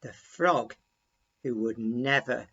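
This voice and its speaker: A man reading a children's story aloud in a deliberate, expressive storytelling manner. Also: medium pitch, slightly rough, highs cut off.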